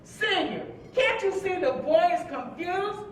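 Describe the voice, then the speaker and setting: A woman's voice: very high-pitched, lively intonation, measured pace. An actress playing a worried, protective mother and preacher's wife in a staged reading, with pleading, folksy delivery. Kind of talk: staged play reading before a live audience. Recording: distant echoey hall recording, faint background noise.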